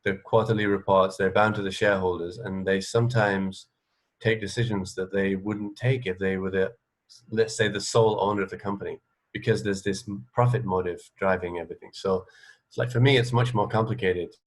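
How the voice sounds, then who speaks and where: A man's voice: deep voice, steady tone, brisk. A man interviewing in a conversational way, thinking aloud hesitantly and often rephrasing himself. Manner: hesitant, self-correcting, thoughtful. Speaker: radio interviewer.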